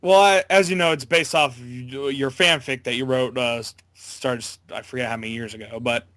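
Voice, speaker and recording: Bright-voiced young-sounding man, halting chat. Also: medium pitch, fairly even intonation, dry recording. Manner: stammering, halting, backtracking. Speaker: interview guest, amateur voice actor. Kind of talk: casual chat.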